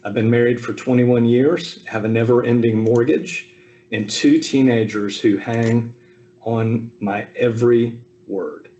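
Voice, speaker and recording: A man in his fifties from the American South, giving a relaxed personal talk over a video call with frequent ums and asides. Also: mid-range voice, a little slow, muffled recording. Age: 50s. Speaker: speaker at a Zoom talk. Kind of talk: personal family history talk.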